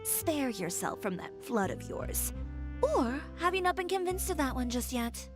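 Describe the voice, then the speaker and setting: Brisk, strong-willed young woman designer. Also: high-pitched, very animated, music in the background. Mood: defiant, cutting. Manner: blunt, assertive, self-assured. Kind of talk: video game voice acting.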